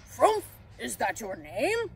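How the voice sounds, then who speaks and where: A woman voicing a kindly, excitable old man in a children's story, with a hearty, fatherly manner. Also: a little high, takes it slowly, creaky, a little hiss or hum, pitched below the performer's own voice, exaggerated voice. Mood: gentle, curious.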